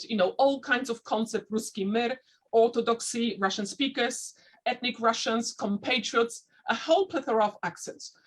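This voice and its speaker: Woman, slight dutch accent, english not her first language, husky voice, higher pitch, online panelist. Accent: slight Dutch-sounding. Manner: thoughtful, searching for words with frequent restarts.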